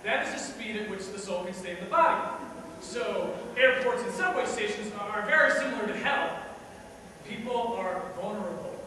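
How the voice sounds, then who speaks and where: A man performs a cynical, self-justifying dead man in a stage monologue. His voice sits high for a male and moves between musing and emphatic rhetoric. It carries from a distance through a long hall reverb, with clear noise in the recording. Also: close to an everyday voice. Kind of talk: theatrical dramatic monologue.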